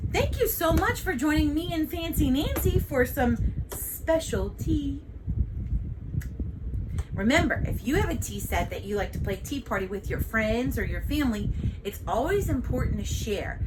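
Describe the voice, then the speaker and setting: A woman reading a children's storybook aloud in an expressive, deliberate, teacherly way. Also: high-pitched, background noise.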